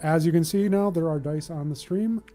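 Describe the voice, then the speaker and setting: A man's voice: a little high, moderate pace, mellow, faint music behind. A man hosting and narrating a live tabletop game as game master in a casual way. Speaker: game master and stream host.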